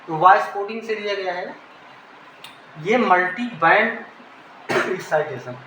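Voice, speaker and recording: A man's voice: high voice, takes it slowly, sharp tone. A man with an Indian accent giving an informal technical lesson, explaining and repeating key terms. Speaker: teacher. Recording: echoey room, background noise.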